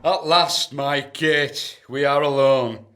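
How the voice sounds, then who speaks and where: An actor playing a lusty, boozy duke in a period comedy radio play, pompous and exclaiming. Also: male voice, mid-range voice, steady tone, slow pace, pushed and bright. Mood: eager, amorous. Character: lusty, blustering, boozy duke on his wedding night.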